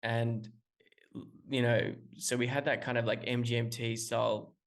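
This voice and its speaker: Male musician guest on a call, rambling and hesitant, mid-pitched everyday voice. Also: little pitch movement, a little slow. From Australia. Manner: rambling, hesitant, many restarts and fillers. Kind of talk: casual interview talk. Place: calling in remotely.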